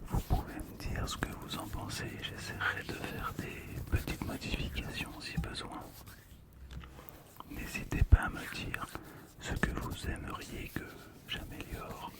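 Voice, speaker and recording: An ASMR creator whispering softly and unhurriedly, with pauses, close to a stereo microphone. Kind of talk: ASMR whispering, describing a collectible card.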